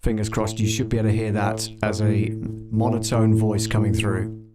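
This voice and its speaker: A man hosting a casual live software demo, thinking aloud in a halting way and muttering test counts as he troubleshoots. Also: on the low side, level tone, over loud music. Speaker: live stream host and audio tutor.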